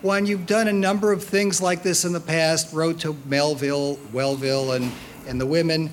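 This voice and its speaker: A man's voice: on the high side, steady tone, moderate pace. A man asking informal, multi-part questions from the floor as an audience member at a live event. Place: live book fair event. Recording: clear background noise, live event room.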